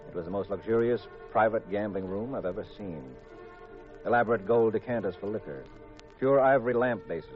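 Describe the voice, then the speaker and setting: A cool, wry gambling sleuth from a 1950s radio mystery, played by a man. His voice sits low and his delivery is dry and understated. The old recording is muffled, with a little music underneath. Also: lightly acted. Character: cool, wry male amateur detective and gambler. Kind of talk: 1950s radio mystery acting, with first-person narration.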